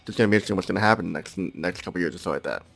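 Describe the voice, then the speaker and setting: A man's voice: low-pitched, racing, creaky, a bit bright, faint music behind. A man giving his political views in a casual chat, rambling and repeating himself. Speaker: podcast guest. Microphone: call recorder.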